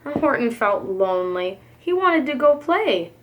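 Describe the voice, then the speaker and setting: A woman reading a storybook to young children as a preschool reader, with dramatic, deliberate phrasing. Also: high voice, sing-song, lo-fi sound.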